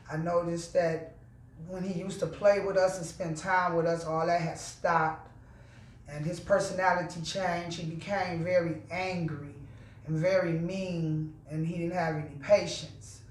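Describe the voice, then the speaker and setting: A woman calmly recounting childhood memories in a steady, measured testimony. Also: fairly low pitch, a little hiss or hum. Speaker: interviewee. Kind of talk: personal testimony. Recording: echoey room, mic at a distance.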